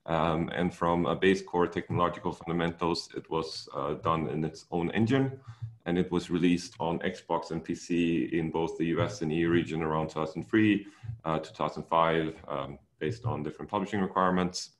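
Man, Swedish accent, very low voice, online talk, relaxed with fillers, video call mic. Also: normal speed. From Sweden. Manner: relaxed, many fillers and restarts. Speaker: technical director giving a talk. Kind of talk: online seminar presentation.

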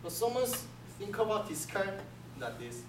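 Man, Korean accent, young adult, halting speech, roomy echo, background hiss. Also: sits high, big pitch swings. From South Korea. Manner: halting, many restarts, simple phrasing. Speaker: student presenter. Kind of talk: class presentation. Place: classroom with projector screen. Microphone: far camera. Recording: echoey room from far off, room hiss.

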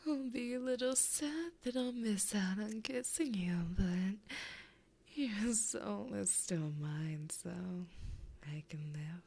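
Breathy, whispering woman as a possessive demon lover. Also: mid-range voice, a little mellow. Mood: teasing, soft. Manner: slow, teasing, intimate. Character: flirty, possessive, taunting female demon lover. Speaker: ASMR roleplay performer. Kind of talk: whispered ASMR roleplay. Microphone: close binaural microphone.

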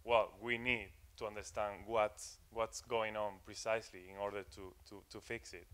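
Young male tech speaker, clear smooth voice, halting delivery full of "um"s, live conference room. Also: fairly low pitch, little pitch movement, a bit bright. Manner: hesitant, many fillers and repeated words. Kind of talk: technical conference talk.

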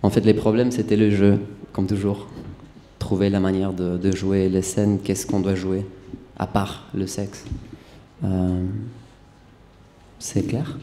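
A young man who is not a native speaker, answering at a press conference with many pauses and fillers, into a table microphone. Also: sits low, flat delivery, warm tone. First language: not French. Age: young adult. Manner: hesitant, searching for words with many fillers. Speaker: lead actor. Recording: roomy press hall with faint noise.

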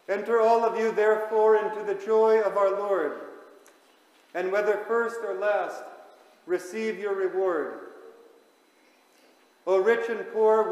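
A man, a priest, reading a homily aloud with slow, measured declamation that rises to fervent proclamation. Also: sits high, darkish tone. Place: large church. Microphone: far camera. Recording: echoey church from far off.

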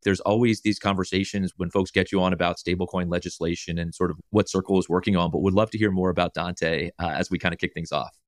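A man hosting a podcast, reading a scripted intro then chatting with his guest in a measured, clear way. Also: deep voice, fairly even intonation, no echo, clean studio sound. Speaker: podcast host. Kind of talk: scripted intro then casual interview chat.

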